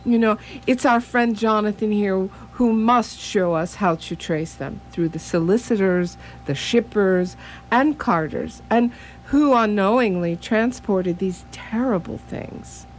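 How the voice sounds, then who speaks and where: A woman playing a wise, grave old male professor who hunts vampires, speaking formally and deliberately in a radio drama. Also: mid-range voice, slight background noise, lightly acted, low-quality recording. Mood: cautionary, solemn.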